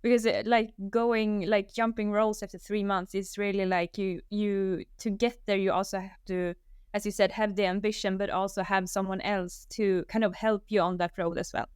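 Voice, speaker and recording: A woman's voice: medium pitch, little pitch movement, normal speed, clean tone, no echo, studio quality. A woman hosting a podcast interview, asking questions casually with lots of "like" and "kind of". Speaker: podcast host.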